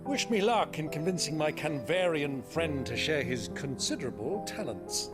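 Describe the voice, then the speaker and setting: A man's voice: on the high side, expressive, moderate pace, loud music behind the voice, lightly acted. A man playing a fond, gallant older uncle in a video game, good-humoured and courtly in his florid phrasing. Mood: fond, jovial. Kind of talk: video game cutscene acting.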